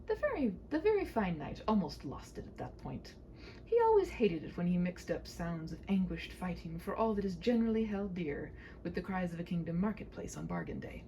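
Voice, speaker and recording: A woman's voice: mid-range voice, gravelly, warm tone, a little hiss or hum, rough recording. A woman reading a humorous story in a whimsical, mock-formal way, with wry asides.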